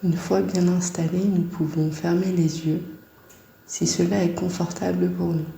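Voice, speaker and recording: A woman guiding a body scan meditation in a calm, soft-spoken, unhurried way with long pauses. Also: lowish voice, vocal fry, reverberant, rough recording.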